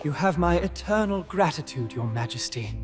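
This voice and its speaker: A man voices a young fairy-tale prince for a cartoon, with a fairly high male voice. He is courteous, earnest and forgiving, choosing formal words. Music plays clearly behind him. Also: animated, normal speed, lightly acted. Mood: gracious. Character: gracious, forgiving young prince freed from a curse. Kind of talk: animated fairy tale dialogue.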